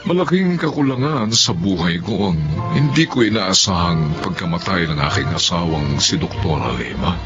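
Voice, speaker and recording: Casting: dignified widower physician, very low voice, expressive intonation, solemn, muffled radio drama sound with loud music. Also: male voice. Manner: solemn, measured. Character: earnest, dignified widowed doctor and humanitarian. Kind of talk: Filipino radio drama monologue.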